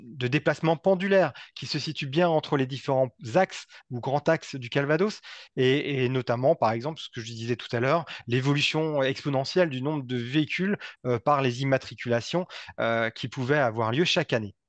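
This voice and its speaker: A man giving a semi-improvised technical presentation, speaking haltingly and often repeating and rephrasing his words. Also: mid-range voice, no echo. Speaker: presenter from a local energy body.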